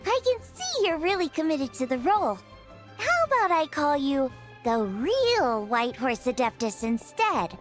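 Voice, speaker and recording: A friendly young girl who cooks for a living talks in a high, animated voice. She is upbeat, chatty and welcoming, a video game character with music clearly behind her. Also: unhurried. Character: cheerful, friendly young girl cook at a restaurant.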